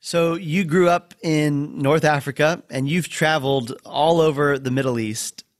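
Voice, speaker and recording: A man hosting a podcast interview, introducing his guest and asking questions in a warm, friendly way. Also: on the high side, fairly even intonation, a little slow, no echo. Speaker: podcast host.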